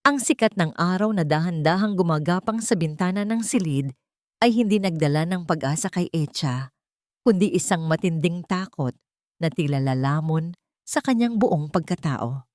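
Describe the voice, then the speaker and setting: A woman's voice: on the low side, lively intonation, moderate pace, a little mellow, no room sound. A woman with a Filipino accent reads a dramatic story as a scripted narrator. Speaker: narrator of late-night drama stories.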